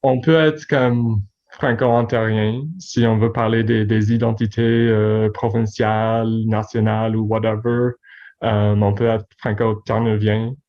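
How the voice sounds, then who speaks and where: A man's voice: lowish voice, flat delivery, unhurried, dull audio. A man from Newfoundland and Labrador, a newspaper director, speaking in a hesitant casual conversation and often repeating words. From Newfoundland and Labrador, Canada.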